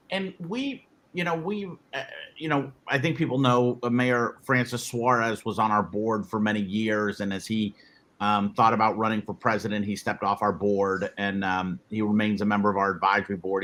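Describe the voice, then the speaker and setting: A man's voice: lowish voice, steady tone, normal speed, fairly bright tone, a little hiss or hum. A man hosting a webinar panel, talking loosely with many restarts. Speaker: webinar host, moderator. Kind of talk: casual panel moderation.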